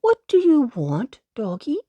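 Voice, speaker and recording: Woman playing a kindly high-voiced old rabbit. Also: wildly swooping pitch, a little slow, no room sound, lo-fi sound, exaggerated voice. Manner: gentle, polite.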